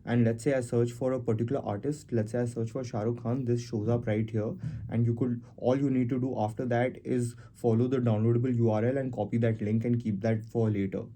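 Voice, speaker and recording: A man hosting a casual software tutorial, explaining things step by step as he goes. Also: medium pitch, fairly even intonation, brisk. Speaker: tutorial host. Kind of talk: casual screen-recorded software walkthrough.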